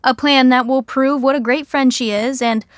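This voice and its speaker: Higher-voiced woman narrator. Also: steady tone, moderate pace, no echo, clean studio sound. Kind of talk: scripted comedic narration.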